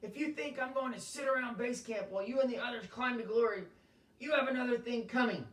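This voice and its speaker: A man reading aloud voices an angry, red-faced older male climber who shouts indignant complaints. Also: sits high, moderate pace. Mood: furious. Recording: echoey room, voice from a distance.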